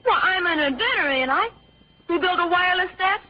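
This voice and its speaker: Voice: very high-pitched, lively intonation, fairly quick. A performer playing a boastful, scrappy boy inventor with an American accent and brash manner in an old-time radio drama. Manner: brash, colloquial. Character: cocky, boastful young boy inventor, scrappy street kid. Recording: dull lo-fi vintage radio recording.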